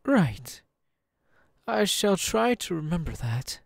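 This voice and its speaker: A suave male demon, speaks with slow, swooping intonation. He is genteel and smug, full of false sympathy and theatrical pauses. The recording is dry, in an ASMR audio roleplay style. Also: sits high, very animated, vocal fry, no echo, exaggerated voice. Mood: smooth, curious.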